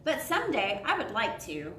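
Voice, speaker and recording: A woman's voice: wildly swooping pitch, moderate pace, a bit bright. A woman voicing a shy, curious male mountain goat who speaks earnestly. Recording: roomy, distant mic, faint rumble.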